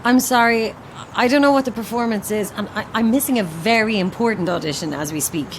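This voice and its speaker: A woman playing a chatty, eager actress, flippant and quick to apologise, in a naturalistic audio horror drama. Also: on the high side, slight background noise, lightly acted. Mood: surprised, eager. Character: chatty, eager young actress, impressed but uneasy. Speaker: actor.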